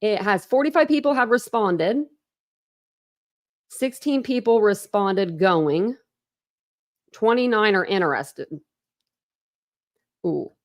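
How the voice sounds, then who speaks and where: A woman's voice: medium pitch, animated, takes it slowly, long pauses. A woman hosting a true crime livestream in casual, rambling commentary, often breaking off and restarting. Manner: rambling, chatty, self-interrupting with laughs. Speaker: true crime channel host.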